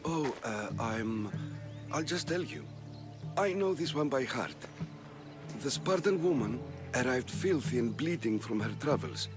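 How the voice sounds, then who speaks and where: An actor playing a feeble, devout old temple priest in a video game, halting and evasive, telling stories with reverence. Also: male voice, medium pitch, expressive, lightly acted. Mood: hesitant, confiding. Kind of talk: video game character dialogue. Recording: loud background music.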